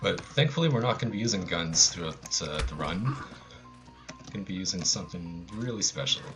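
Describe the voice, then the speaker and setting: A man's voice: low voice, expressive, brisk, music in the background. A man with an American accent giving casual, laid-back live commentary as he plays a speedrun.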